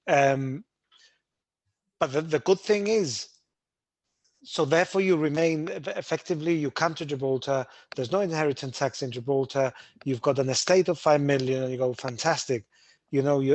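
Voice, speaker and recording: A man's voice: on the high side, no echo. Emphatic man explaining tax rules. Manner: brisk, emphatic, with restarts. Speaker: webinar host, Gibraltar tax adviser. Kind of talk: explanatory webinar talk.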